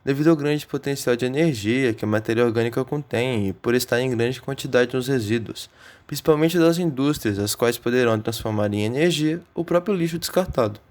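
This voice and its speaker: A man's voice: medium pitch, brisk, a little mellow, close to an everyday voice. A man with a Brazilian accent playing a thoughtful student who formally recites his view in an amateur radio play. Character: thoughtful male student predicting the future. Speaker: student in class.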